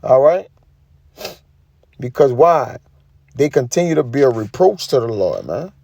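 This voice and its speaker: Mellow-voiced man preaching informally. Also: medium pitch, big pitch swings, measured pace, vocal fry, no echo. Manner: emphatic, colloquial, punctuating points with tag questions. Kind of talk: informal sermon with scripture reading and commentary.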